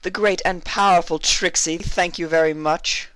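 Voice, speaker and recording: A man's voice: a little high, steady tone, normal speed, fairly bright tone, clear, resonant tone, no room sound, pitched above the performer's own voice, lightly acted, poor audio. A man voicing a boastful, haughty female magician pony with a pompous, theatrical manner in a story reading. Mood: smug, scoffing. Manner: obnoxious, pompous, speaks of self in third person.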